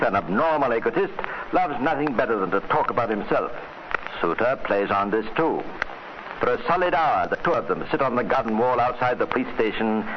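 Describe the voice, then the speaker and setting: A muffled, hissy old radio recording of a male narrator. His fairly low voice tells a scripted story with theatrical suspense, in deliberate dramatic phrases. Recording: dull, noisy vintage radio recording.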